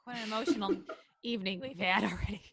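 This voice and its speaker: A woman's voice: mid-range voice, fast talker, noisy background. A woman co-hosting a casual live podcast, chatting with jokey, wry banter. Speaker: podcast co-host.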